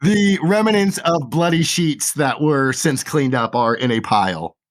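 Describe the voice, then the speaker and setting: A man narrating a tabletop game as game master, relaxed and full of fillers. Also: fairly high pitch, a little slow.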